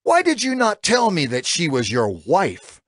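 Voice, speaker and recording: A man's voice: fairly high pitch, huge pitch swings, unhurried, fairly bright tone, dry recording, clean studio sound, exaggerated voice. A man playing an outraged, imperious Egyptian ruler in a dramatized scripture reading. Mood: outraged, indignant. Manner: indignant, imperious.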